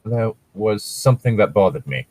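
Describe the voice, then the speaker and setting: Troubled young witch, read by a man, grateful, husky, lowish, fan fiction storytelling. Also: lively intonation, normal speed, a little mellow.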